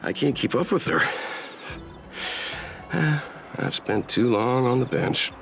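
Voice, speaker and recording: An actor playing a calm, prudent older mentor in a video game, speaking in a measured, reassuring way with a wry edge. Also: male voice, medium pitch, creaky, exaggerated voice. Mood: wry, weary. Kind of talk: scripted video game dialogue. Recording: music behind the voice.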